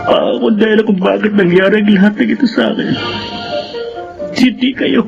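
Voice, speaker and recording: High-voiced, guilt-ridden Filipino son. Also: male voice, a little fast, vocal fry. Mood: regretful. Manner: pleading, emotional. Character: guilt-ridden, apologetic young adult son. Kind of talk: Filipino radio drama acting. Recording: dull lo-fi sound, loud music.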